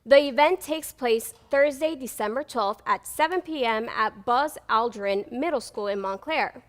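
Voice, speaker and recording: A young woman anchoring a student newscast in a bright, upbeat scripted delivery. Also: on the high side, a little slow, in a large hall. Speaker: news anchor.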